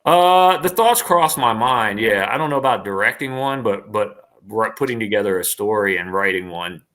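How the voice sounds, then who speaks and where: A man's voice: fairly low pitch, expressive, normal speed, a bit bright. A man talking casually as an interview guest, enthusiastic and rambling, often repeating his phrases. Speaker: interview guest, online performer.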